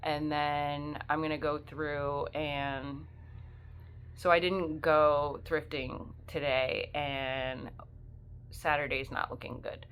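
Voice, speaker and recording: Sniffly low-voiced woman vlogger. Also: little pitch movement, slow pace, fairly bright tone, smooth. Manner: chatty, rambling, many fillers. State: sniffly with the flu. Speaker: part-time reseller. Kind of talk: casual vlog chat.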